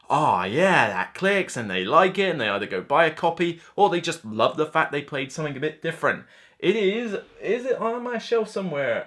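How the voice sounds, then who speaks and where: A man in his thirties talks casually to camera as a board game reviewer. His intonation swings widely and his delivery is animated and chatty. He speaks off the cuff, slipping into imagined reactions as he goes. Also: a little high. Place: indoor room lined with shelves of board games.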